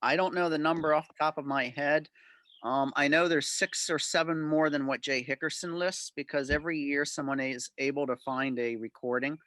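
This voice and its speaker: A man's voice: on the high side, steady tone, normal speed, a bit bright, dry recording. A man answering club members' questions informally on a video call, explaining things in detail.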